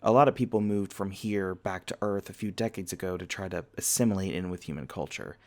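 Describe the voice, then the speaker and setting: A man's voice: sits low, brisk. A man playing a friendly, teasing vampire university student and tour guide in a casual, conversational ASMR roleplay. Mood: easygoing, informative. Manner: casual, conversational, gently joking. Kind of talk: ASMR roleplay acting, casual chat to listener.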